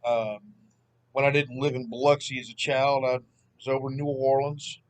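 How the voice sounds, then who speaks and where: A man's voice: mid-range voice, normal speed. Laid-back Southern man in his thirties. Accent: Southern US (Mississippi). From Southern United States (Mississippi). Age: 30s. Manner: rambling, relaxed, self-deprecating. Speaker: history channel host. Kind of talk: casual vlog chat. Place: at home, sitting.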